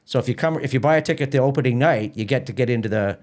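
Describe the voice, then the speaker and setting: A man's voice: medium pitch, rapid-fire, darkish tone. A man chatting casually as an interview guest and festival organiser, informal, often repeating words and doubling back. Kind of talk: casual promotional interview chat.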